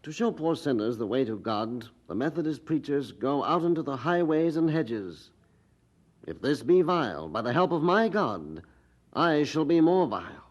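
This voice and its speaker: A man's voice: on the high side, big pitch swings, moderate pace, dull audio. A man acting a respectful eighteenth-century supporter of a preacher in an audio drama, formal and oratorical. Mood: impassioned.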